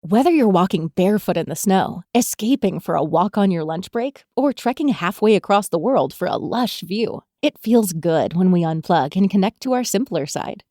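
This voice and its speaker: Lively woman reading a dry studio ad. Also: medium pitch, normal speed, few pauses, no room sound. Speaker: ad reader. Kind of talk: scripted advertisement read.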